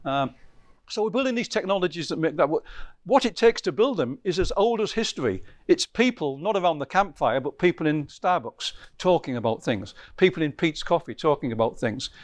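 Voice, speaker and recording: A man's voice: on the high side, no room sound. Rambling man giving a lecture. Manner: rambling, self-correcting, lively emphasis. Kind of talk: conversational lecture.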